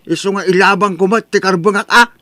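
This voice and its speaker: A man's voice: high voice, fairly even intonation, a little fast, bright, forceful tone, dry recording. A man with an Ilocano accent from the Philippines playing a stubborn, indignant husband who protests insistently in a radio-style comedy drama. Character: indignant, stubborn husband refusing to sell house.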